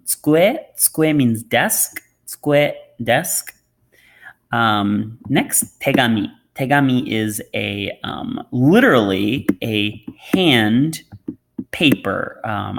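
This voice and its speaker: A man's voice: medium pitch, fairly bright tone. A man who is a native English speaker gives an informal, unscripted pronunciation lesson, repeating words and adding explanatory asides. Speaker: language teacher.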